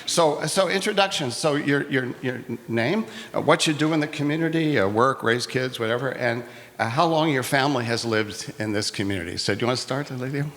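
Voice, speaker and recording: Mid-pitched man, chatty moderator, in a reverberant hall with faint noise. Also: brisk, a bit bright. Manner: chatty, joking, many restarts. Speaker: workshop moderator. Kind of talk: moderating a live community workshop. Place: community hall, live event.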